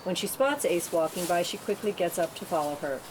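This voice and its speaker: A woman's voice: animated, brisk. A woman narrating screenplay directions at a table read. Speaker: narrator reading stage directions. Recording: clear background rumble and room noise.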